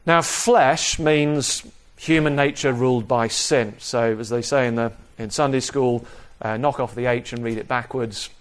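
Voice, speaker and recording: A man preaching an expository sermon in a measured, explanatory manner, repeating key phrases for emphasis. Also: mid-range voice, steady tone. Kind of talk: sermon, expository Bible teaching.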